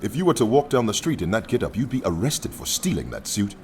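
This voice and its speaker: An actor in a radio play voicing a huge, overbearing anarchist leader, jovially mocking and menacing, with a theatrical, domineering manner. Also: male voice, lowish voice, big pitch swings, fast talker, crowd noise.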